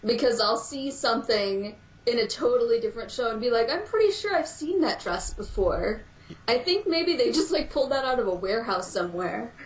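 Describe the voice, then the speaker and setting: A woman speaks as a podcast guest, thinking aloud and hedging her points. Her voice sits fairly high, and the room around her is echoey. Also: lively intonation, fairly quick. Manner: thoughtful, hedging with fillers. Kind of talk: casual podcast chat. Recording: roomy echo.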